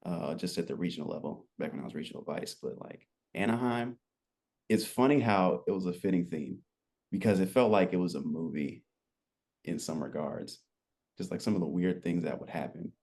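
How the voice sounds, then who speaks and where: A man in his twenties telling his story as a podcast guest, relaxed and rambling, with lots of fillers. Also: deep voice, moderate pace, vocal fry. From United States. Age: 20s. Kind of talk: casual interview chat.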